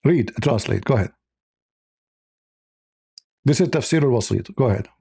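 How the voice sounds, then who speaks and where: A man's voice: medium pitch, lively intonation, moderate pace, gravelly, warm tone, breathy edge, no echo, clean studio sound. A man whose first language is Arabic, hosting a heated live debate, combative and mocking, repeating himself insistently. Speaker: debate stream host.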